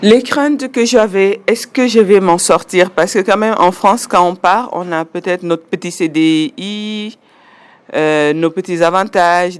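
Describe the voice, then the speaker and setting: A woman, giving a measured personal testimony as an interviewee and listing details step by step. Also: fairly low pitch, clean tone, no echo. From Senegal. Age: 40s.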